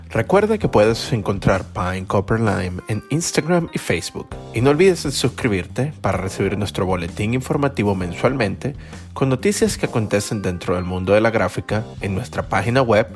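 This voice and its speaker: Podcast host with faint music behind. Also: male voice, on the low side. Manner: reads promos, then lively questioning. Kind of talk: scripted intro then interview.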